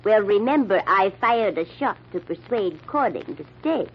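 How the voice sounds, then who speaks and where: A woman's voice: mid-range voice, expressive, normal speed, close to an everyday voice. An actress playing a steely, sardonic middle-aged mother in an old radio drama style. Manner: commanding, sardonic. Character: steely, cool, commanding matriarch of rich family. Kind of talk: 1940s radio acting. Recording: dull, noisy old radio recording.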